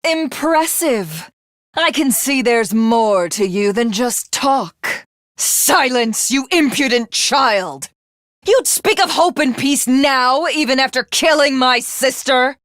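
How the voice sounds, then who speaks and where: Haughty alien villainess, widely swooping voice. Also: female voice, sits high, animated, fairly bright tone, no room sound, studio quality, full character voice. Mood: scornful, angry. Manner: imperious, grandiose, taunting, drawn-out delivery. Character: haughty, ruthless alien villainess craving godhood. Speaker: video game voice actress. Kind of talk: theatrical video game villain acting.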